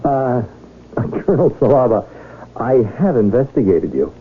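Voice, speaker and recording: A man's voice: medium pitch, big pitch swings, normal speed, a little hiss or hum, natural voice. An actor in a 1970s radio drama playing a lonely, naive rich man who speaks earnestly and hesitantly. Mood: eager, awed. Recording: dull, band-limited 1970s radio recording.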